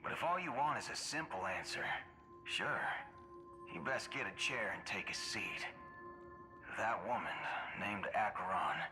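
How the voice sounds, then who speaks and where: Drawling gunslinger; folksy game cowboy, lively lilt, unhurried, game music behind. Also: male voice, on the low side. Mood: wry. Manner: slow drawl, folksy slang. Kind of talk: video game voice acting.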